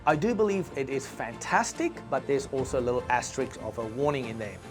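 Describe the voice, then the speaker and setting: A man's voice: mid-range voice, brisk. Earnest male business presenter, faint music. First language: Afrikaans. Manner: earnest, conversational, with asides to the viewer. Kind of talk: instructional video talk.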